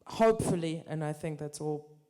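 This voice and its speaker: A woman's voice: low voice, moderate pace, dark tone. A woman speaking earnestly on a conference panel, asking pointed questions and using fillers. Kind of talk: panel discussion. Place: conference stage.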